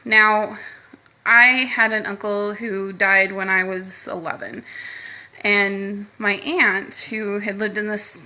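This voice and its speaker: A woman's voice: mid-range voice, flat delivery, slow, bright, forceful tone. A middle-aged American woman giving a casual update to her followers, chatty and informal, rambling from point to point. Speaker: paranormal team host.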